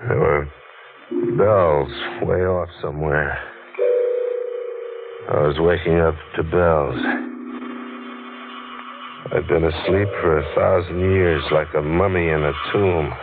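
A man's voice: a bass voice, fairly even intonation, slow, pauses often, heavily acted. A man with an American accent playing a wry, stubborn newspaper reporter who narrates in slangy 1940s radio noir style. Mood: brooding narration. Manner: slangy, sardonic. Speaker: lead actor and narrator. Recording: tinny, noisy old radio broadcast.

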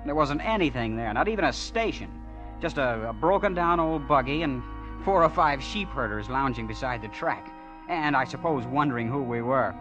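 An actor playing a naive, eager small-town schoolboy in a 1940s radio drama, chatty and hesitant, on a muffled old broadcast. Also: male voice, mid-range voice, expressive, normal speed, music in the background, lightly acted. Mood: reminiscing.

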